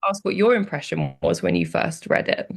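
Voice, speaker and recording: A young woman with a British accent, reflective and animated as she tells stories in a podcast conversation. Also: lowish voice, normal speed, creaky, breathy edge. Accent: British English. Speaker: guest.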